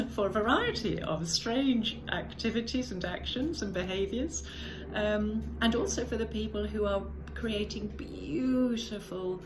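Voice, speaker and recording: Low-voiced woman reflecting thoughtfully. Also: background noise. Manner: measured, thoughtful, with frequent "uh" and self-corrections. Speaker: podcast host. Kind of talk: reflective spoken monologue.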